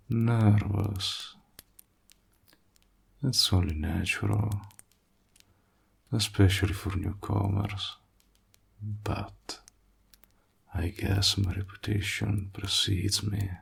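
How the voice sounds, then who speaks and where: A man playing a suave, courteous and flirtatious warlock with a sly edge, soft-spoken and deliberate, in an intimate audio roleplay. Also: lowish voice, heavily acted. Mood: amused, smooth. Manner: soft-spoken, breathy, slow and deliberate, flattering. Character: suave, courteous male warlock, hospitable, flirtatious, slyly menacing.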